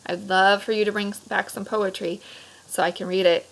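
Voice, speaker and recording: A woman with a mid-pitched voice talks to young pupils as their teacher. She explains things in simple phrases, poses questions and often checks that they follow. Her manner is encouraging throughout. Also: flat delivery, moderate pace, creaky, fairly bright tone, rough recording. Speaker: elementary school teacher. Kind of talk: read-aloud discussion lesson for children.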